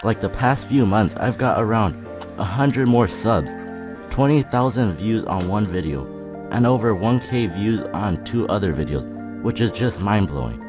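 A teenage boy vlogging a roast in a flat, monotone, deadpan voice with dry sarcasm. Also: on the low side, normal speed, over music. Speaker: YouTuber. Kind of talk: casual roast vlog narration.

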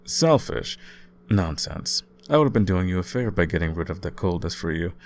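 A young man playing an easygoing, caring student roommate in an intimate ASMR audio roleplay, talking casually with gentle teasing. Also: sits low, lively intonation, brisk, slight background noise. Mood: playful. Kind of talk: intimate ASMR boyfriend-style roleplay, conversational acting.

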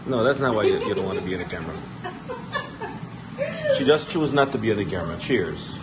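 A man's voice: on the low side, animated, fairly quick. A man in his forties with a Guyanese accent, chatting casually as he presents a home cooking vlog. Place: home kitchen, indoors. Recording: noisy kitchen, loud appliance hum.

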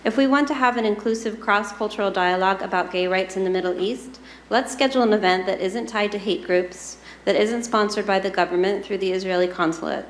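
A woman's voice: mid-range voice, a little fast, hardly any pauses. A woman delivering a prepared statement as a public commenter at a meeting. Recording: echoey meeting room, faint noise.

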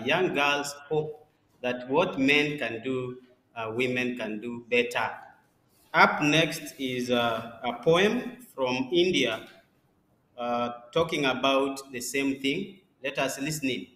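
A man with a Kenyan accent announcing acts as a host, in a halting way. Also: mid-range voice, pushed and bright. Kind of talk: event announcing. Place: large classroom with iron roof. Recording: echoey classroom.

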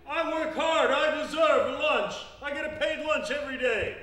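A man performing a live improv comedy scene as a lazy, sassy fast-food worker who lectures the customer, recorded on a small theater stage. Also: extremely high pitch, bright, forceful tone. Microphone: distant room mic. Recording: echoey stage from far off, noisy.

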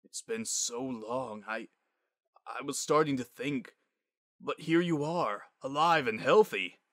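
A man acts out a middle-aged Pegasus dad from a storybook, stern yet deeply loving. His voice is bright and forceful, halting when feeling takes over, and recorded close and dry. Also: lively intonation, no room sound. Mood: tearful.

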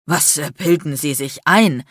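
A pompous businessman in an audiobook, as a woman narrator puts him on. He sounds affronted and angry, stiffly formal, with a lowish pitch and a dry studio closeness. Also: drawn-out words, a bit bright, breathy edge, no echo, heavily acted. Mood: indignant, affronted. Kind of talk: dramatic audiobook character acting.

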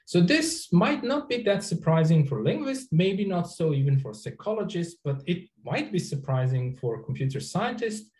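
A middle-aged man, an or Croatian speaker, giving an academic talk over a video call in a halting way with many restarts. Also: high voice, sing-song, darkish tone, echoey room. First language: Serbian or Croatian. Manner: halting, frequent restarts and fillers. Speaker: researcher giving a lecture. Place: indoor office. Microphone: webcam on a video call.

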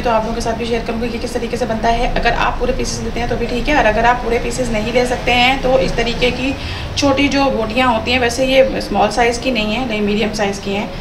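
A woman chatting casually to her viewers while cooking at home, sprinkling in English words. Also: on the high side. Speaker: home cook showing a recipe. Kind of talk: casual cooking vlog narration. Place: home kitchen. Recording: echoey room with clear household noise.

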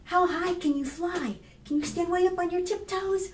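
A woman in her fifties reads a picture book aloud to preschoolers, expressive and instructive, addressing the children directly. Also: very high-pitched, moderate pace. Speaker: children's storytime librarian. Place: small plain room indoors. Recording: roomy echo, faint background noise.

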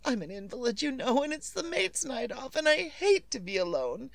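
An actress playing a nervous, demanding, well-to-do invalid wife in old-time radio suspense style, imperious and melodramatic. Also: female voice, high-pitched, very animated, moderate pace, dry recording. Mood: agitated, urgent.

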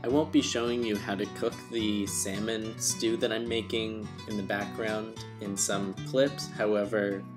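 A young man giving a calm, step-by-step cooking lesson as voice-over. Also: lowish voice, unhurried, hardly any pauses, over loud music. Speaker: cooking teacher. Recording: distant echoey room with noise, video call.

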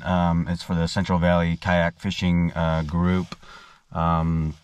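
A man's voice: deep voice, smooth. A young man talking casually to camera outdoors before dawn for a fishing vlog, rambling with lots of ums and uhs. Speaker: fishing vlogger.

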